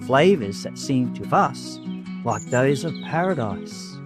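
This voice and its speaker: A man reading a first-person story as an audiobook narrator, in an expressive storybook style. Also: medium pitch, slow, over loud music.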